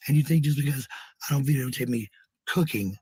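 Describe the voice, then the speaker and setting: A woman with a very raspy, unclear voice talks casually to her followers. She is defensive and blunt, and she swears freely. The sound is dry, with little room to it. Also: very deep voice, a little fast, dark tone, no room sound. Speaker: livestreamer.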